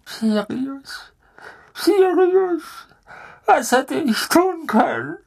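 A man's voice: very high-pitched, extremely slow, voice pushed up, exaggerated voice. A man reading an audiobook, voicing a cowering, sobbing middle-aged male traitor with a whiny, pleading voice. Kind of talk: audiobook character voice.

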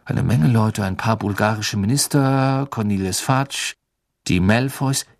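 A man's voice: lowish voice, measured pace, no echo, studio quality. A man voicing an earnest, straightforward teenage boy in an audiobook, giving plain answers.

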